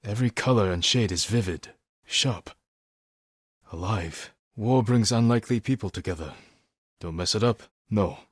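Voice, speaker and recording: An actor playing a stoic, honorable young samurai warrior in a video game, speaking in a measured, terse and earnest way. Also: male voice, lowish voice, breathy edge, no echo, clean studio sound. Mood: solemn, reflective.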